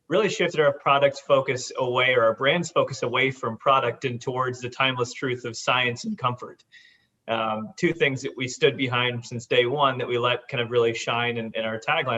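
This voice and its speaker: A man's voice: mid-range voice, bright, forceful tone, lo-fi sound. A man, a company founder, speaking at length in a panel discussion, rambling with many repeated words.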